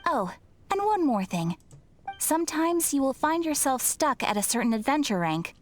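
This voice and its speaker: An actress playing a polite young guild receptionist in a video game, explaining things in a courteous, formal and measured manner. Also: female voice, sits high, sing-song. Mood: formal, welcoming. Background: game music.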